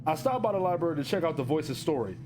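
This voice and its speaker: A man's voice: fairly high pitch, animated, quick pace, noisy background, lightly acted. An actor playing a broke, desperate divorced man who narrates his analog horror story in a resigned, confessional, wry way. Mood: uneasy.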